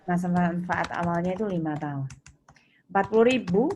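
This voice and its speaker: A woman's voice: lowish voice, a little fast, darkish tone. A woman with an Indonesian accent giving an interactive lecture as a teacher, explaining points didactically and putting questions to students. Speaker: accounting lecturer. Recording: noisy, with keyboard clicks and typing.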